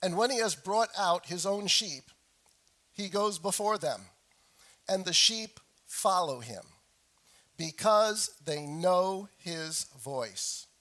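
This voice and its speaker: Hoarse male preacher, fairly high voice. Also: animated, a bit bright. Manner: frequent throat clearing; deliberate, repeats phrases for emphasis. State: hoarse from a lingering cold. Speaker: pastor preaching to his congregation. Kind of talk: sermon with scripture reading.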